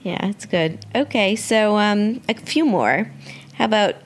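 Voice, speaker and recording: A woman's voice: medium pitch, lively intonation, a little slow. A woman hosting a radio show, chatting casually as she interviews a guest. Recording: clearly noisy background.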